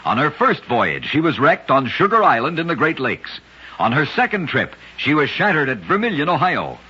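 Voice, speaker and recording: Husky, bright-voiced male radio storyteller. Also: on the high side, very animated, normal speed. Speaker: radio host and narrator. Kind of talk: scripted vintage radio storytelling of odd facts. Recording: dull lo-fi vintage radio recording.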